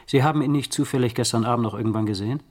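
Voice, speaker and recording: An actor playing a brisk, probing police detective in a German radio crime drama. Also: male voice, medium pitch, close to an everyday voice. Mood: businesslike, probing.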